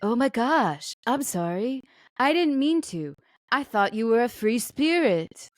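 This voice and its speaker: Woman acting as a creepy apologetic man for a comic podcast reading: high-pitched, slow, mock-dramatic pleading. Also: big pitch swings.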